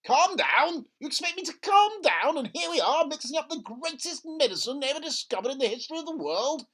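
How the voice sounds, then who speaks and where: A storybook reader voicing an excitable, impatient middle-aged father with an urgent, exclaiming manner. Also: high-pitched, fairly quick, voice pushed up, heavily acted. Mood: frantic excitement. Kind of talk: children's storybook character voice.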